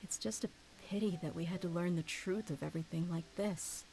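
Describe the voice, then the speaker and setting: A woman voicing a tough but warm-hearted female desert mercenary, speaking steadily and earnestly. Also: lowish voice, brisk, a little hiss or hum, natural voice, poor audio. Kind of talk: game voice acting.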